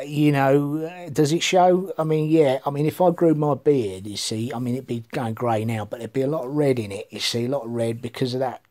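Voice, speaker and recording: A man's voice: mid-range voice, darkish tone. A middle-aged man rambling casually to his phone camera in a living room, swearing freely and trailing off. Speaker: vlogger. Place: living room with bookshelves, indoors. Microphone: phone camera held at arm's length.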